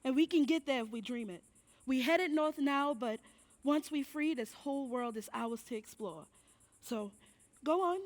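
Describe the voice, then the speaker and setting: Woman acting a high-voiced, protective mother. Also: expressive, moderate pace. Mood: coaxing, hopeful. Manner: coaxing and admonishing, folksy period speech. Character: protective, firm, hopeful enslaved mother. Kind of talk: stage play acting. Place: indoor theatre stage.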